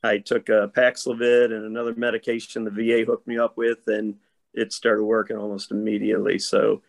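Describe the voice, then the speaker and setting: Hoarse man in his fifties. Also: lowish voice, little pitch movement. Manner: measured, detailed storytelling. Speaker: actor guest. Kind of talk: casual interview chat. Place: home room. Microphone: video call.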